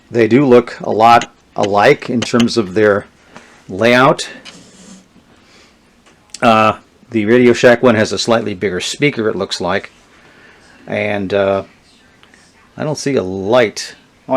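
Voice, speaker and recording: A man giving a casual, unscripted gadget demonstration, thinking aloud in a low-key, hesitant way. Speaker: vintage radio reviewer demonstrating gadgets. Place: indoors in a basement. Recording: noisy recording with radio static. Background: radio static and hiss.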